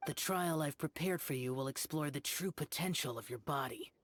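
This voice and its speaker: A woman's voice: deep voice, moderate pace, noisy background, lightly acted. A woman voicing a stern, aloof young male warrior in a game, speaking curtly and lecturing. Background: game music.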